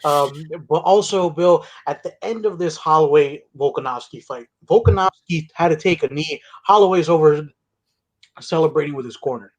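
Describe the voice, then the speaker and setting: A man's voice: a little high, normal speed, poor audio. A man co-hosting a casual sports podcast, wry and joking. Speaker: podcast co-host. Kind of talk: casual chat.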